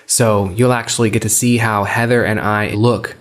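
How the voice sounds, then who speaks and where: A man's voice: lowish voice, fairly even intonation, normal speed. A man hosting a podcast, chatting casually as he introduces the show. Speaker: podcast host.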